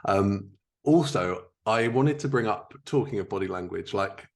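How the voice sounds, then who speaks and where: A man's voice: lowish voice, lively intonation, a little slow. A man hosting a podcast in a casual, agreeable, conversational way as he interviews a guest.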